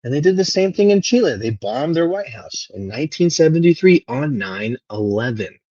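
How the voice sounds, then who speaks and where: A man from Venezuela talking casually and unscripted as a livestream host, rambling and halting as his thoughts trail off. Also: fairly high pitch, very animated, warm tone, no room sound, lo-fi sound. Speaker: live stream host.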